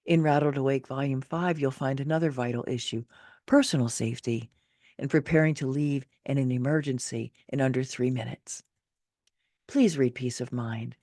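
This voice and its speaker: A woman's voice: extremely low pitch, a little mellow, dry recording, studio quality. A middle-aged woman reading her own personal essay in an emphatic, theatrical, motivational way. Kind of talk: expressive scripted narration of a personal essay.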